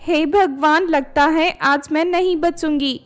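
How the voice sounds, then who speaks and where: A woman's voice: high-pitched, steady tone, slow, faint music behind. A woman voicing a spiteful, dramatic young stepdaughter in a cartoon moral story. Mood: panicked, desperate. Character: spiteful, selfish young stepdaughter, hot-tempered, later panicked.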